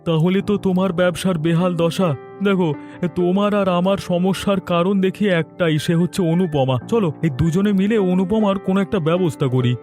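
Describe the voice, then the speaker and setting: Man acting a high-voiced scheming village villain. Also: normal speed, a little mellow, faint music behind, close to an everyday voice. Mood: plotting. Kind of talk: cartoon voice acting.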